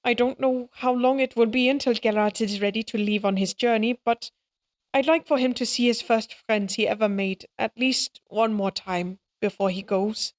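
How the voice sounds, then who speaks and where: A woman playing a lonely, gentle, sincere character in an audio roleplay, speaking hesitantly and earnestly, with wistful fondness. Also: a little high, steady tone, normal speed, dry recording, close to an everyday voice. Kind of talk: dramatic audio roleplay acting.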